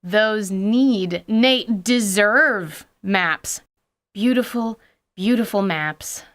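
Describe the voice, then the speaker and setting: A woman's voice: mid-range voice, extremely slow, fairly bright tone. A woman hosting a scripted, playful commentary on tabletop gaming as a game master, with theatrical emphasis and mock-dramatic asides. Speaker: podcast host and game master.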